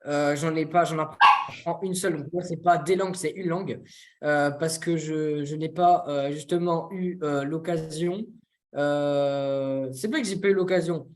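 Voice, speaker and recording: A young man from France, co-hosting a casual discussion and often pausing to search for words. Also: fairly high pitch, steady tone, noisy background. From France, near Toulouse. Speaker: co-host.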